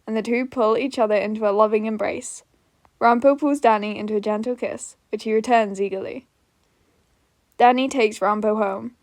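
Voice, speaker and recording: A woman's voice: a little high, no echo, clean studio sound. A woman reading a scripted comic fan story aloud as its narrator. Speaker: podfic narrator.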